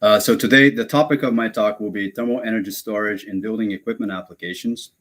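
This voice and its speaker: Brazil-born male lecturer, very low voice, halting and filler-heavy. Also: fairly even intonation, a bit bright. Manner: hesitant, many fillers and restarts. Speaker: guest lecturer. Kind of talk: academic webinar lecture.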